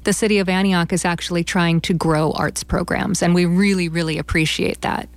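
Woman interviewee, lowish voice, dry close sound. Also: fairly even intonation, fairly quick, gravelly, no echo. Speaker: interviewee, theater company member. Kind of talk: conversational interview answers.

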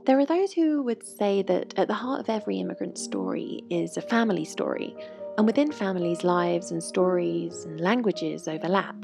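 A woman narrates in a measured, reflective way. Her voice has a strong vocal fry. Instrumental music plays clearly behind her. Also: medium pitch, darkish tone. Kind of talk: scripted narration.

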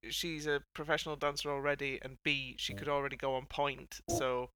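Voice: mid-range voice, level tone, brisk, heavy background noise. A podcast host chatting casually on a panel, with a wry, wordy, mock-formal way of putting things. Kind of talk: casual panel chat about a TV dance show.

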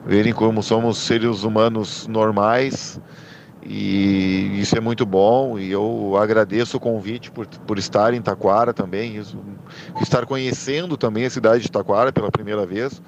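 A man's voice: on the low side, steady tone, moderate pace, muffled recording, a little hiss or hum. A man who is a football referee, giving earnest, friendly interview answers.

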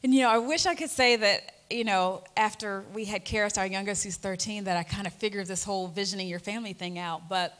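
A woman's voice: medium pitch, steady tone, brisk, few pauses, a bit bright. A woman in her forties hosting a faith talk show, telling family stories earnestly and animatedly, with frequent fillers. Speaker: host.

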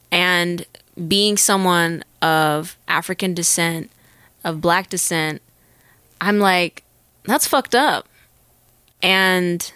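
A woman's voice: fairly low pitch, bright, forceful tone, no room sound. A young woman with a Northern Californian accent, speaking as an interview guest. She talks in an earnest, reflective way, thinking aloud and restarting her sentences. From Northern California, United States. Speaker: interview guest, actor and musician. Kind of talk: candid conversational interview.

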